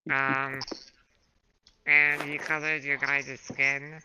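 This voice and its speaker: A hoarse man running a tabletop game as dungeon master, unhurried and full of fillers. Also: medium pitch, completely flat delivery. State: hoarse from a throat infection. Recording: muffled home recording with background noise.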